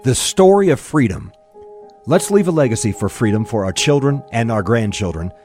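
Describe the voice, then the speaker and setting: An American man reads a scripted radio promo in a mid-pitched, very lively voice. His delivery is earnest and dramatic, like an author pitching his work. Music plays clearly underneath. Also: moderate pace. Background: prominent music bed.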